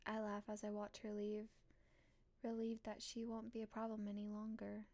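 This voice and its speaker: A woman's voice: mid-range voice, completely flat delivery, moderate pace, mellow. A woman streamer reading a visual novel aloud and reacting casually as she goes.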